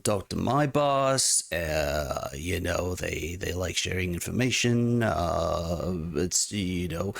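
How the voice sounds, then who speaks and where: A man's voice: sits low, animated, takes it slowly, hardly any pauses, exaggerated voice. A man voicing an evasive male imp servant with a scratchy voice, hedging and loyal to his master. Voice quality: scratchy, gravelly.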